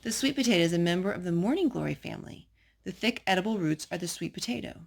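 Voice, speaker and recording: A woman's voice: lowish voice, animated, fast, gravelly, husky. A woman hosting a children's storytime, reading picture books aloud and chatting with a young child through simple questions and asides. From North Carolina.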